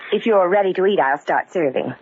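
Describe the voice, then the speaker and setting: An actress playing a dutiful, composed home nurse, formal and deferential, in an old-time radio drama. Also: female voice, lowish voice, lively intonation, fairly quick, darkish tone, close to an everyday voice. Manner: formal, deferential, politely firm. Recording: dull, very noisy old radio recording.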